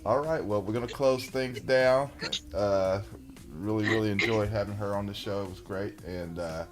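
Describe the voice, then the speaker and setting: A man's voice: lowish voice, moderate pace. A man hosting an internet radio talk show, thanking his guest in an easygoing, affirming way. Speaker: host.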